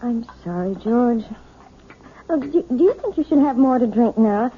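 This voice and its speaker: A woman's voice: a little high, lively intonation, moderate pace, slightly rough, narrow, tinny audio. A woman playing a timid, nervous, apologetic wife in 1970s radio drama style, hesitant and stammering. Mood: anxious, apologetic. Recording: thin, band-limited old radio broadcast.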